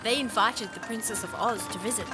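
A woman's voice: fairly high pitch, fairly quick, over loud music, lightly acted. A woman playing an earnest, resolute young princess in an audio drama. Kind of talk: audio drama acting and narration.

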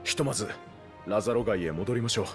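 A man's voice: on the low side, fast talker, faint music behind, natural voice. A man playing a loyal resistance knight in a Japanese video game, speaking formally with deference and earnest conviction. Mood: solemn hope.

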